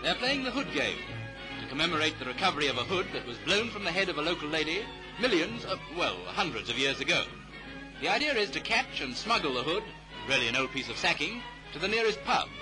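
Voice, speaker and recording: High-pitched British 1930s newsreel man. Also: very animated, fast, poor audio. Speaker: newsreel narrator. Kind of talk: 1930s British newsreel commentary, scripted narration. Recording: vintage 1930s newsreel soundtrack. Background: music.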